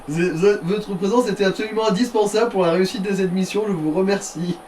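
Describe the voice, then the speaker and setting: A man's voice: high voice, normal speed, darkish tone. A man co-hosting a let's play with casual, vulgar, sarcastic commentary. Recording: distant mic, faint background noise.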